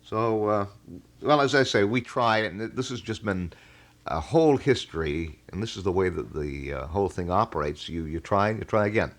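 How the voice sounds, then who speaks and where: A middle-aged American man with a fairly low voice hosts a live radio call-in show. He talks in a folksy, wordy manner, phrasing things haltingly as he chats with listeners from the studio. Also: lively intonation. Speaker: radio talk show host. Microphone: studio broadcast microphone.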